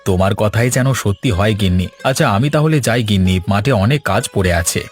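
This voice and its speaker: A man's voice: on the low side, lively intonation, fast, soft background music, lightly acted. A man voicing a mild, weary village farm labourer and husband in a cartoon, plain and placid. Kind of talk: cartoon voice acting.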